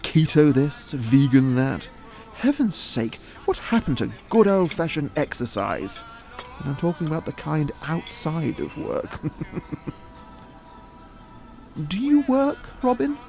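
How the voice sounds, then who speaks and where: An actor playing a worldly, middle-aged father in an audio drama, smooth-talking, provocative and theatrical. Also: a little high, huge pitch swings, takes it slowly, dark tone, soft background music, exaggerated voice. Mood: playful, showy.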